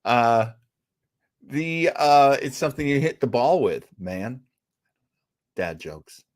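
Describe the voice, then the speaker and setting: A man's voice: medium pitch, very animated, slow. A man hosting a casual show, rambling, joking at his own expense and interrupting himself when distracted. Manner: jokey, self-deprecating. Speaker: host. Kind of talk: casual live show chat.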